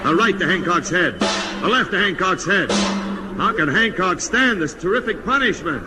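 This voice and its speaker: A man playing an excitable boxing commentator in a 1950s radio comedy, dramatically calling each blow. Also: high-pitched, moderate pace, over loud music. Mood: excited. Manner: dramatic, breathless blow-by-blow delivery. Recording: very noisy vintage radio recording.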